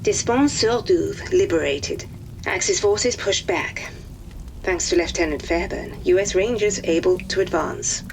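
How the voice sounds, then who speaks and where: A fairly low-voiced woman reads wartime military dispatches in a game. Her delivery is clipped and telegraphic, over faint rumbling noise. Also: measured pace, poor audio. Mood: formal, factual.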